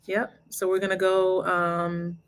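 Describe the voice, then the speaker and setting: A woman with a Cajun accent in her thirties, chatting softly as co-host of a home livestream. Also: lowish voice, completely flat delivery, slow, smooth. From Lafayette, Louisiana. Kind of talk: casual chat.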